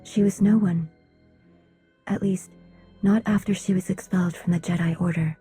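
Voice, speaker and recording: Woman playing a dark-voiced, solemn alien envoy. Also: on the low side, fairly even intonation, faint music behind, close to an everyday voice. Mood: solemn, measured. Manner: measured, formal, restrained. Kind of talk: video game cutscene dialogue.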